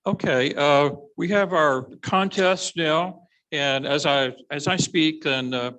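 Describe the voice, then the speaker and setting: A man presenting at a meeting in a halting, unscripted way. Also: fairly high pitch, a bit bright, rough recording.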